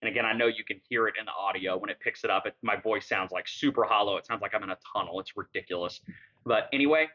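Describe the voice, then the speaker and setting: A hoarse man with a raspy, lowish voice, still rough from being sick. He rambles along in a laid-back way, tossing in "dude" and "man." The recording sounds hollow and tunnel-like, with a long trailing echo. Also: fast, sharp tone, highs cut off. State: hoarse after illness. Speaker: channel host. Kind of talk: casual commentary on clips.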